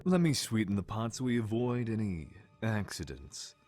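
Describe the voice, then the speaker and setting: An actor playing a persuasive space pirate leader, controlled and wry, in an audio comic dub. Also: male voice, lowish voice, big pitch swings, moderate pace, darkish tone, soft background music. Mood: controlled, bargaining. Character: smooth-talking, bargaining male space pirate leader.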